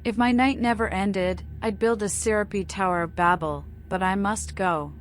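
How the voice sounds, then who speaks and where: A woman's voice: mid-range voice, steady tone, normal speed, slight background noise, natural voice. Woman voicing a whimsical mad vampire woman. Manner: whimsical, oddly poetic. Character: mad female vampire speaking in cryptic riddles. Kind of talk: video game character acting.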